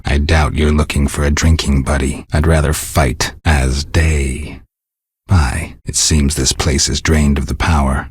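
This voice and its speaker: A stoic mercenary monster hunter from a fantasy video game, with an extremely low, heavily rasping voice. He is terse and sardonic, delivering everything in a dry deadpan. The sound is close and dry. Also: no room sound, full character voice. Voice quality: gravelly, husky rasp. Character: stoic, sardonic monster-hunting mercenary warrior, gruff adult man.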